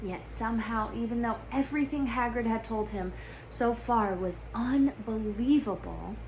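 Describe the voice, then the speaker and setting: A woman storyteller narrates a book aloud with expression, her voice on the high side. There is clear noise in the recording. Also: moderate pace, a little mellow, rough recording.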